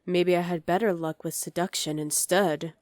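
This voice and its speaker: A woman's voice: fairly low pitch, fairly even intonation, normal speed, a little mellow, no room sound, clean studio sound, close to an everyday voice. A woman voicing a dominant, haughty evil queen in an ASMR roleplay, imperious, sly and condescending. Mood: scornful, exasperated.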